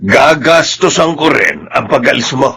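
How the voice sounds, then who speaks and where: An actor playing a stern, pushy older father in a Filipino radio drama, gruff and commanding. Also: medium pitch, very animated, measured pace, sharp tone, exaggerated voice. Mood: pushy, persuading. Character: stern, pushy older father and grandfather, scornful of his son-in-law.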